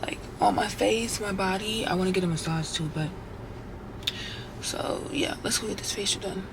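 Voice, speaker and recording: A woman's voice: mid-range voice, moderate pace, background noise. A woman vlogger chatting casually to her viewers, slangy and talkative, with a congested voice and a sore throat. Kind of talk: casual vlog chat to camera.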